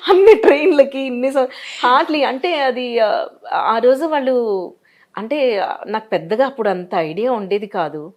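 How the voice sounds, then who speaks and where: A woman's voice: a little high, expressive, a little slow, pauses often. A middle-aged Indian woman, reminiscing in a conversational interview, repeating phrases animatedly and mixing in English words. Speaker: interview guest, dubbing and announcement voice artist.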